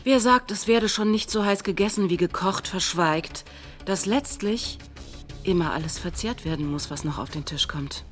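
Actress as formal politician giving a speech, measured, radio play, quiet music underneath. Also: female voice, mid-range voice, close to an everyday voice. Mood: grave. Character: formal politician welcoming allies at a rally. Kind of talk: staged recitation of political speeches.

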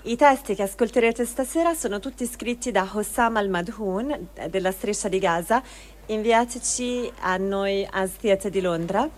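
Woman presenter introducing a reading, earnest and deliberate, mid-range voice. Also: medium pitch. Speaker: theatre project presenter. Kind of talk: spoken introduction to a live reading.

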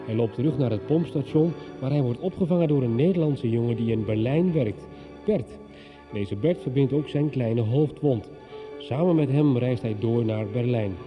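Calm male radio announcer, dull sound. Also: mid-range voice. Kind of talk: scripted recap narration. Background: music.

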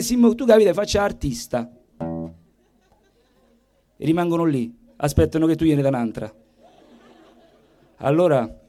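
A man with a Roman accent performing theatrical comic storytelling in an expressive, colloquial, declamatory way. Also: mid-range voice. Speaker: stage performer, monologist and singer. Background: plucked guitar.

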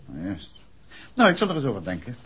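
An actor playing a composed, courteous gentleman detective with a husky voice in a 1960s radio play. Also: medium pitch, huge pitch swings, fairly quick, full character voice. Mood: courteous, probing. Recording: dull old radio recording.

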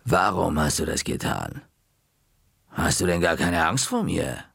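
A man voicing a stern, formidable woman librarian in a story reading, low and slow. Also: sing-song, gravelly, a bit bright, husky, dry recording, studio quality, exaggerated voice.

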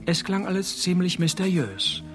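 An actor playing a wry private detective who narrates and talks in a dry, sarcastic deadpan in a radio play. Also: male voice, sits high, moderate pace, faint music behind, heavily acted. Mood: wry, matter-of-fact. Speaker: narrator and lead character.

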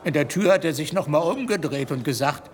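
An actor playing a chatty, shady middle-aged gambler with a sentimental streak, colloquial and theatrical in a German radio drama. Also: male voice, fairly high pitch, expressive, brisk, natural voice. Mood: storytelling. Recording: reverberant room, faint ambient noise.

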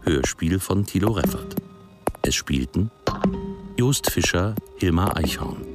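A man's voice: low-pitched, animated, slow. A man reading radio drama credits as a formal announcer. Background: loud music.

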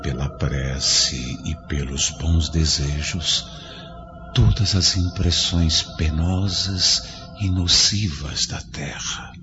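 Super deep slow-talking spirit guide, stern, heavy music under, muffled. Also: male voice. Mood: counseling. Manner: slow, authoritative counsel. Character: wise male spirit guide, calm and stern. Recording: dull lo-fi audio with strong music.

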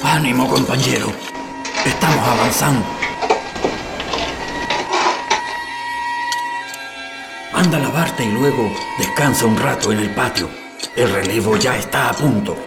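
An actor with a Chilean accent playing a brisk, encouraging male prisoner who directs his comrades in a radio drama. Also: medium pitch, creaky, close to an everyday voice. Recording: echoey, with loud music over dialogue.

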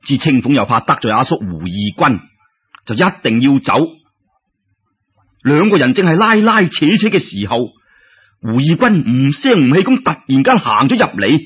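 A man's voice: mid-range voice, very animated, moderate pace, breathy edge. A man with a Cantonese accent telling a serial story in a colloquial, dramatic way full of exclamations. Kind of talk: animated traditional serial storytelling. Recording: dull lo-fi radio-like recording.

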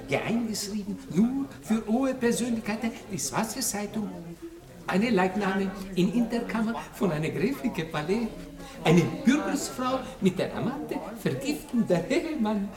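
A man's voice: high voice, expressive, unhurried, roomy sound, recorded from a distance, crowd noise. An actor playing a scheming Italian intriguer who offers his spying services in broken speech with an Italian accent, in a 1960s radio drama. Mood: hawking. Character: scheming Italian male intriguer, fawning spy for hire.

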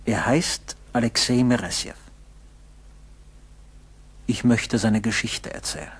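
A man narrating a radio play solemnly, in a measured way with dramatic pauses. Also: medium pitch, slightly rough.

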